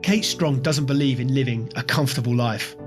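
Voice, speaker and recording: A man's voice: medium pitch, brisk, music in the background. A man hosting an interview podcast, asking long, meandering questions and often repeating words. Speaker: podcast host.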